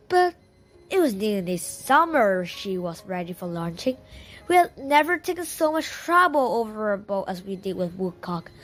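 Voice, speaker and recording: A woman's voice: medium pitch, fairly bright tone. A woman reading a children's novel aloud as a first-person storyteller. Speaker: audiobook reader.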